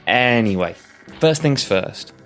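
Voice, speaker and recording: A man's voice: mid-range voice, lively intonation, slow pace. Man, British accent, podcast host, upbeat, faint music behind. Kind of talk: podcast intro and interview.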